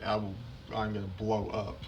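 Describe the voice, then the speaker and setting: A man's voice: lowish voice, monotone, normal speed, dull audio, background noise. A man giving casual, muttered commentary as he records his radio at home. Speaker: weather radio hobbyist recording his receiver.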